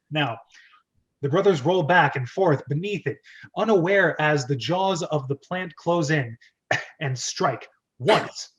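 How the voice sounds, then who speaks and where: A man's voice: fairly high pitch, slow, pauses often. A man hosting an informal script read-aloud, reading stage directions theatrically and adding casual, jokey asides. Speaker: host and script reader. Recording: dull lo-fi audio.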